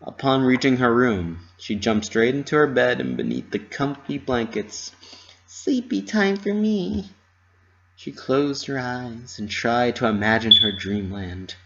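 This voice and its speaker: A man's voice: medium pitch, animated, a little slow, few pauses, fairly bright tone. A man giving a dramatic scripted reading of a fan fiction story as its narrator. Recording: muffled lo-fi home recording.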